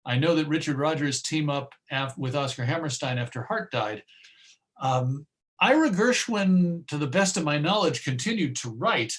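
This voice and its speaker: A man's voice: a little high, expressive, fairly bright tone. Hesitant, musing man presenting. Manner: hesitant, musing, pausing mid-phrase. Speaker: presenter and old-time radio collector. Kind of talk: informal online talk with audience questions.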